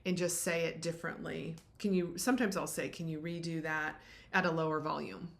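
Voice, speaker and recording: A middle-aged woman co-hosting a podcast, talking in a reflective, conversational way and often affirming with soft murmurs. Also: low voice, fairly even intonation, fairly quick, creaky. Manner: reflective, many affirming mm-hmms. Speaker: podcast co-host.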